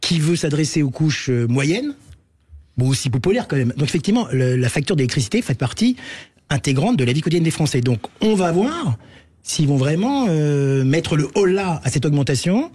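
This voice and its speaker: An older man commenting on a radio panel, lively but hesitant with fillers. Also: a little high, moderate pace, breathy edge.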